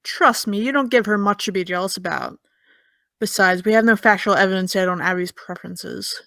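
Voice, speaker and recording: A woman's voice: medium pitch, brisk, gravelly. Woman acting sardonic young American woman, Eve. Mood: sarcastic, cutting. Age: young adult. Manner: dry, teasing, deadpan. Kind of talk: audio drama dialogue.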